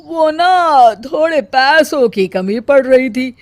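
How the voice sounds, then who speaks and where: A woman voicing a smooth-talking conman who poses as a father in an animated story. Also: sits high, slow, dry recording, studio quality. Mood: wheedling.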